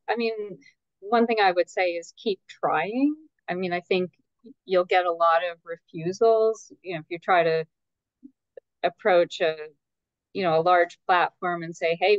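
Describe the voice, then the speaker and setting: A woman's voice: on the low side, moderate pace, no echo, dull audio. A woman, a guest editor, giving conversational advice in an interview over an online call.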